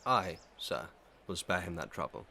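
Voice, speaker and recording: An actor playing a stern, impartial magistrate in a Victorian melodrama, speaking in a formal, deliberate, official manner. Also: male voice, low voice, very animated, gravelly, pushed and bright, a little hiss or hum, heavily acted. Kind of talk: Victorian melodrama audio play acting.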